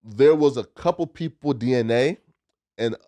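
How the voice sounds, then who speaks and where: A man's voice: medium pitch, normal speed, dark tone. A man in a casual podcast debate, speaking emphatically and argumentatively in slang. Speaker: podcast panelist.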